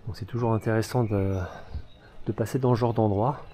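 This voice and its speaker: A man's voice: lowish voice, steady tone, moderate pace, mellow. Chatty French man vlogging his travels. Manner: chatty, enthusiastic, many fillers. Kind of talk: casual travel vlog narration. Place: outdoors on a motorcycle trip through villages. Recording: background music.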